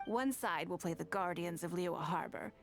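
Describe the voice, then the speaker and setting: An actress playing a composed, shrewd businesswoman in a video game, speaking in a smooth, measured way. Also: fairly low pitch, faint music behind. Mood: confident, shrewd. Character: poised, wealthy businesswoman and chess designer, composed and shrewd. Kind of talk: scripted video game dialogue.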